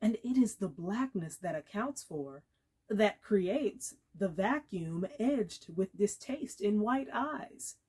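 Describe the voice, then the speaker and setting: A woman giving a dramatic literary reading, measured and expressive, with deliberate pauses. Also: mid-range voice, slightly rough. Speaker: actor reading aloud.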